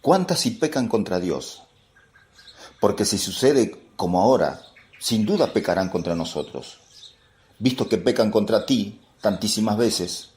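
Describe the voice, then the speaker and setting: A man's voice: lowish voice, darkish tone, slight background noise. An actor playing an earnest, anxious male apostle who seeks guidance with questions, in a religious radio drama.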